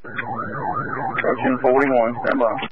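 A man's voice: medium pitch, huge pitch swings, slow pace. Man on a dull, noisy police radio. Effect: two-way radio. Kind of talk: terse police radio traffic during a pursuit.

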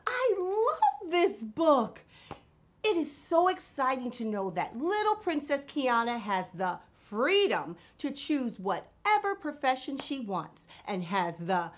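A woman in her thirties hosting for children. She speaks fairly high and slowly, with theatrical emphasis and big, enthusiastic swings in pitch. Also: a bit bright. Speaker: children's show host. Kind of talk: theatrical children's presenting to viewers.

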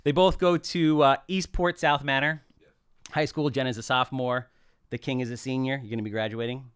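A middle-aged man hosts a podcast in a small office, joking and talking with big gestures. His voice is smooth and resonant. The sound is dry and close on a boom mic. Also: fairly quick, no echo. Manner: animated, joking, gestures broadly while talking. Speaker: podcast host. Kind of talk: casual podcast chat.

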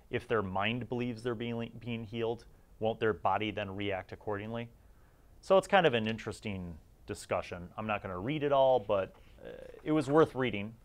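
A man hosting a retro tabletop gaming review, mixing read-aloud excerpts with informal, chatty commentary. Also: fairly low pitch. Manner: informal, slangy, chatty asides.

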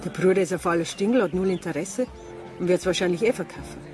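A woman's voice: fairly low pitch, fast, natural voice. Woman acting a worried Bavarian farm helper, music behind. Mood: worried, saddened. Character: worried farm helper woman, plain-spoken, sympathetic villager. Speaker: actor in a radio crime drama. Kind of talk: naturalistic radio drama dialogue.

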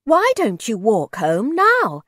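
A woman voicing a stern, suspicious mother in a storybook, curt, sharp and haughty. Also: fairly high pitch, huge pitch swings, takes it slowly, breathy edge, no room sound, clean studio sound, exaggerated voice. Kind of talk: storybook character voice.

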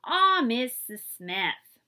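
A defiant storybook tomboy, acted by a woman reader, grumbles with a blunt whine. The pitch jumps about with hot temper. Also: sing-song, very slow, bright, forceful tone, heavily acted. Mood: complaining.

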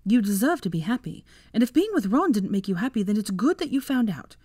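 A woman's voice: medium pitch, sing-song, fast, dark tone, slightly rough, no echo, voice pushed up. A woman voicing a loyal, consoling female friend in a story, speaking earnestly.

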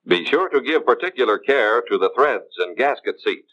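An American man reading scripted instructional narration in a measured, formal way, as in a 1950s training film. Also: mid-range voice, lively intonation. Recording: dull 1950s film soundtrack.

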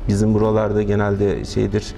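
A man's voice: on the low side, monotone, fairly quick, creaky, over music. A man in his forties from Turkey, a wedding hall owner answering interview questions hesitantly, with many filler sounds, recorded indoors in a decorated hall. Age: 40s. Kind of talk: business interview.

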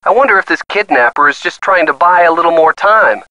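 A man's voice: a little high, lively intonation, brisk, sharp tone, no echo, low-quality recording. A man playing a supportive, easygoing young boyfriend with a wry, teasing manner in a detective video game. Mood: thoughtful, supportive. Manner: teasing, encouraging. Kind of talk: video game dialogue acting, phone conversation.

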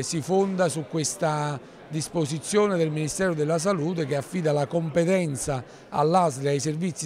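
A man's voice: a little high, a little mellow. A man answers an interview formally, with careful, wordy phrasing. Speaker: mayor being interviewed. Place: large indoor council hall. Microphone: handheld interview microphone.

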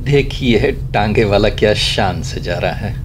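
A man's voice: fairly low pitch, a little slow. A man giving a casual, wry running commentary as he drives a guest around a city, speaking from inside a car. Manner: chatty, wry remarks about traffic manners. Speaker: vlogger giving a city driving tour. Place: inside a car driving through a city in rain.

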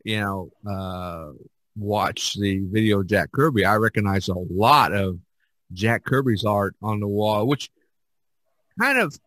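A man hosting a casual podcast discussion as an artist, speaking haltingly with repeated false starts. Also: fairly low pitch, fairly bright tone, no echo.

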